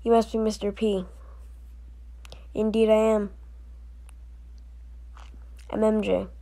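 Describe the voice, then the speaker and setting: A woman doing amateur scripted character dialogue for an animated story, with a drawn-out, unhurried delivery. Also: mid-range voice, creaky, dry recording.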